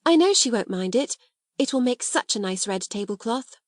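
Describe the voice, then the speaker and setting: Storybook girl, acted by a woman narrator: imaginative, kind, high and breathlessly excitable, close dry sound. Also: normal speed, no room sound, close to an everyday voice. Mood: delighted. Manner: breathless, exclaiming.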